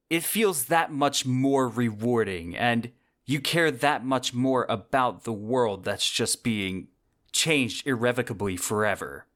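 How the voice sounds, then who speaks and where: A man's voice: mid-range voice, fairly bright tone. Male podcast host giving conversational solo commentary. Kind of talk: scripted solo podcast commentary on science fiction books.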